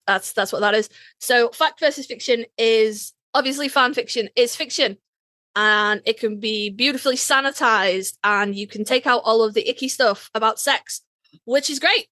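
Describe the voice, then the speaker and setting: A woman's voice: fairly high pitch, bright, forceful tone, no echo. A woman co-hosting a podcast in casual chat, speaking in an emphatic, informal and playfully blunt way. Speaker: podcast co-host.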